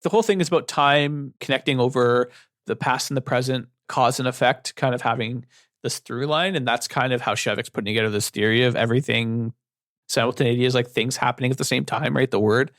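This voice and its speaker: A man's voice: mid-range voice, brisk, no echo. Young guy rambling on a book podcast, lots of likes, dry mic. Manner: relaxed, rambling with many fillers. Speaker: book club podcast co-host. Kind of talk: casual book discussion.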